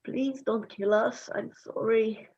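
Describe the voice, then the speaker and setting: A woman's voice: fairly high pitch, steady tone, slow, a little mellow, dull audio. A woman playing a hapless, cheeky and impulsive farm boy in an amateur myth play reading. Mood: frightened, apologetic.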